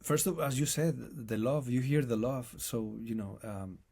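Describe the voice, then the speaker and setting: A man with a non-native accent, speaking as an interview guest in a reflective, halting way and often restarting his phrases. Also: mid-range voice, warm tone. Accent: non-native English accent. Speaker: guest musician. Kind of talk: casual conversation.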